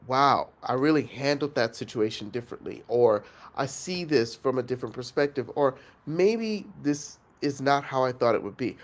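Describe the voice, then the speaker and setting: A man hosting a coaching challenge, speaking earnestly and reflectively with pauses and fillers, his voice nasal from congestion. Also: medium pitch. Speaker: host and facilitator of an online coaching challenge. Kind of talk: motivational coaching talk.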